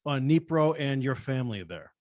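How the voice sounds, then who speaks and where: A middle-aged man with an American accent hosts in a casual, conversational style. He is on a close microphone with a very dry sound and a medium-pitched voice. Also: animated, normal speed, no echo. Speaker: host. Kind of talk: casual interviewing. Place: indoors on a video call. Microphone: close broadcast microphone on a stand.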